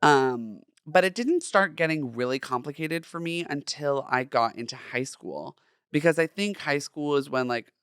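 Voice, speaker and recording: A young man from Southern California with a feminine, light voice, hosting a personal solo podcast in a chatty, campy, expressive way. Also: mid-range voice, clear, resonant tone, no room sound, clean studio sound. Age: young adult. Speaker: podcast host.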